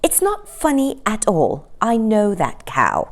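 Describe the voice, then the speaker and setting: A woman's voice: medium pitch, huge pitch swings, slow, voice pushed up, heavily acted. A woman voicing a stern, prim, easily offended nanny in a children's storybook, with a clipped, proper manner. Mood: severe.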